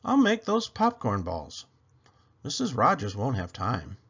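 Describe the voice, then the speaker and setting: A man's voice: medium pitch, huge pitch swings, measured pace, a bit bright, slightly rough, dull audio, exaggerated voice. A man voicing a cheerful, literal-minded housekeeper woman from a children's storybook, earnest and exclamatory. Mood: excited, eager.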